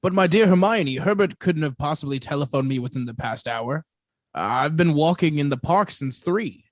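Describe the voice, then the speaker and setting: A man playing an affable, politely baffled gentleman in a radio suspense drama. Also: a little high, normal speed, no room sound, studio quality, natural voice. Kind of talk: theatrical radio suspense acting.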